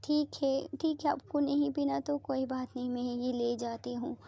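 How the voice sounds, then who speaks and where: A woman voicing a sweet, affectionate young woman who softly pleads with her partner. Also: high voice, completely flat delivery, moderate pace, creaky, highs cut off. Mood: pleading.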